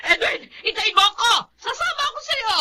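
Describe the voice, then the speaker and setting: Casting: an anxious but defiant Filipino mom, melodramatic radio acting, high-pitched with big swings. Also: female voice, lively intonation, a little fast, bright, forceful tone, slight background noise, heavily acted. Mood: tearful defiance. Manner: emotional, pleading. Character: worried, defiant mother, a doctor torn by family.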